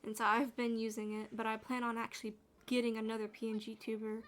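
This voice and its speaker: A woman gaming streamer with a naturally quiet, soothing voice, chatting in a loose, rambling way while she plays. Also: on the high side, flat delivery, fast. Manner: rambling, with fillers and restarts. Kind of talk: soft-spoken gaming chat.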